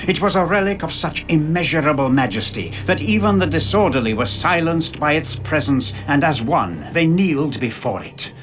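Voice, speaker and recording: A man narrates a video game story with solemn, portentous drama. His voice sits a little high, and music plays clearly behind the scripted storytelling. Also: animated, normal speed, few pauses, a bit bright.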